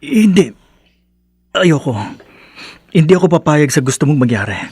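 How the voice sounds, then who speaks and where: A husky, pleading young Filipino suitor. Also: male voice, on the high side, very animated, normal speed, darkish tone, dry recording, heavily acted. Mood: desperate pleading. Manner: pleading, emotional. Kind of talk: radio drama acting.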